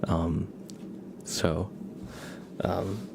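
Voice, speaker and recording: A man with a lowish, creaky voice talks softly to his livestream viewers, often dropping to a near whisper. He is casual and amused, speaking close to an ASMR microphone with clear noise behind him. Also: steady tone, extremely slow. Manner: hushed, amused, chatting to viewers. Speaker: live streamer, ASMR host. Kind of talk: casual ASMR livestream chat, soft-spoken to half-whispered.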